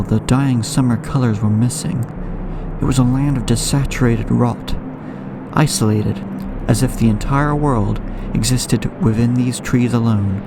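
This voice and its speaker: A young man acting as a haunted, anxious narrator of a horror audio drama, telling his nightmares in a brooding, ornate, measured way. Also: mid-range voice, noisy background, lightly acted. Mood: dread. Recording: music bed under the voice. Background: clear background music.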